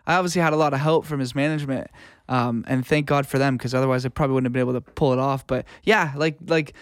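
Male interview guest, music-engineer type. Smooth, clear tone, very dry recording, informal and sincere delivery. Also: medium pitch, steady tone, fast talker, no echo. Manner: colloquial, earnest, peppers talk with "man" and "like". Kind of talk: casual interview conversation.

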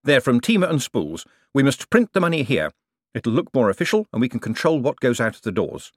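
A man voicing a glib, self-assured young male banker who talks briskly. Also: medium pitch, very animated, no echo, clean studio sound, pitched above the performer's own voice.

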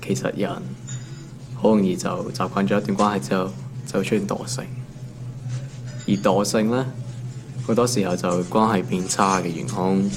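男演員扮演聲線偏低嘅香港後生打工仔. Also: male voice, on the low side, background noise, natural voice. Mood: wry. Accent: Hong Kong. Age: 20s. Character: ordinary young male office worker, teasing and put-upon. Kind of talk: naturalistic Cantonese audio drama dialogue.

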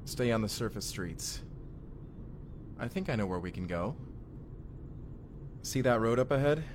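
A man's voice: medium pitch, animated, measured pace, background noise, natural voice. A man playing a smitten, slightly nervous young husband from the future in an intimate audio roleplay, talking in a casual, hesitant way. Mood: cautious, calm. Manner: casual, hesitant, sentimental, rambling. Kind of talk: first-person immersive audio roleplay, speaking intimately to the listener.

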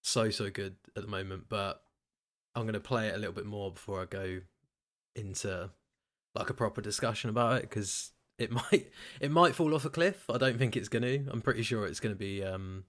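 A man's voice: lowish voice, fairly quick, fairly bright tone. A British man hosting a podcast in casual chat about games and films. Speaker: podcast host.

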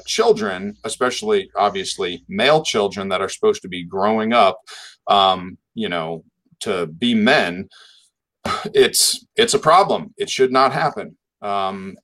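A man co-hosting a church talk show, preaching on scripture with emphasis and slowing down to read verses. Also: on the low side, fairly bright tone. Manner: emphatic, reads verses slowly.

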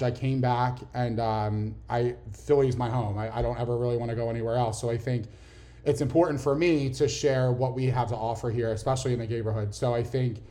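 Chatty Philadelphia man, middle pitch. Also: dark tone. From Philadelphia, USA. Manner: chatty, earnest, teasing. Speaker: podcast co-host. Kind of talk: casual banter podcast chat.

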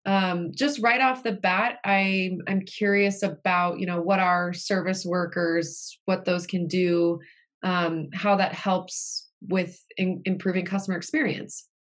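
A woman hosting a casual interview, friendly and relaxed, speaking with fillers and small laughs. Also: on the low side, unhurried. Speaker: podcast host.

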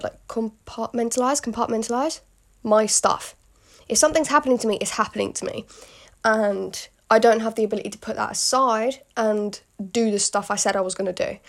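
A woman's voice: a little high, normal speed. A British woman in her late teens talks candidly in a casual solo podcast, rambling with lots of fillers and asides to the listener. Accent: British English. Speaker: podcast host.

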